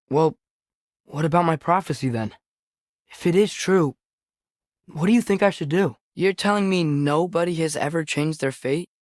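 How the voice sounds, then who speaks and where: A man's voice: a little high, no echo, clean studio sound, close to an everyday voice. A young male actor playing an earnest, curious, impulsive teenage boy in a Norse myth video game, speaking naturally with a hesitant, boyish manner. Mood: hesitant, questioning.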